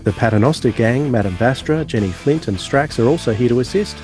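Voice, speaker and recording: A man's voice: medium pitch, lively intonation, fairly quick, a little mellow, faint music behind. A man hosting a podcast, presenting and discussing a review. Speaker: podcast host.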